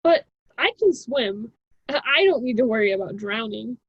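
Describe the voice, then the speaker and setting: Girl as stubborn college kid in a radio play, high husky voice, sassy, dry muffled audio. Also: animated, normal speed, dry recording. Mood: cocky. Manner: flippant, casual, argumentative. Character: stubborn, cocky young female college student. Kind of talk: amateur student radio drama acting. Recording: dry, slightly dull lo-fi recording.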